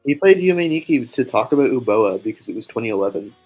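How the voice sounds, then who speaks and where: A man's voice: medium pitch, animated, fast talker, darkish tone, breathy edge, low-quality recording. A man co-hosting a livestream in casual banter. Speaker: livestream co-host. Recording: music playing behind the voice.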